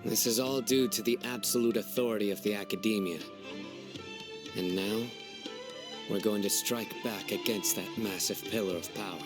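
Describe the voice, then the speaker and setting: A man voicing a stern, composed commanding officer in a video game, speaking in a terse, authoritative, deadpan way. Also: fairly low pitch, moderate pace, music in the background, lightly acted. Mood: resolute.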